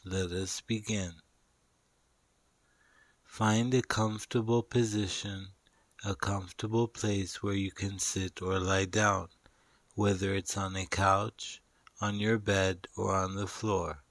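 Male meditation guide, fairly low pitch, very unhurried delivery, soothing and instructional, short spaced-out phrases. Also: flat delivery, fairly bright tone, no echo.

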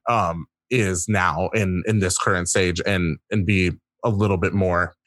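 A man speaking as a guest in a casual discussion, earnest and reflective, often pausing to rephrase. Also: low-pitched, fairly even intonation, fairly bright tone, no echo.

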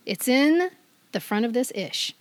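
A woman's voice: fairly high pitch, big pitch swings, unhurried, no room sound. A middle-aged American woman co-hosting a podcast in casual conversation, sometimes reading excerpts aloud. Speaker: podcast co-host. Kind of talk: casual chat with read-aloud magazine excerpts.

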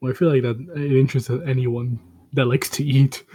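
A man's voice: medium pitch, flat delivery, a little fast, warm tone, breathy edge. A young man co-hosting a casual podcast, talking bluntly in slang and stumbling over restarts. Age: young adult. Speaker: podcast co-host. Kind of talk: casual chat among friends.

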